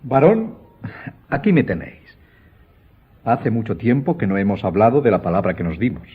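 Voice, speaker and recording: A proud count in a radio play, husky and pompous, pressing his point with stiff military formality. The audio is narrow and phone-like. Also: fairly low pitch, very animated, normal speed, exaggerated voice. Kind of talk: Spanish radio drama acting.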